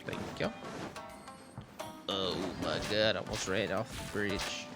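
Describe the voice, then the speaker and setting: A man streaming a video game with excited, slangy commentary, playful sound effects and repeated hype phrases. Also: low voice, takes it slowly. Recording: game music behind the voice. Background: video game music and effects.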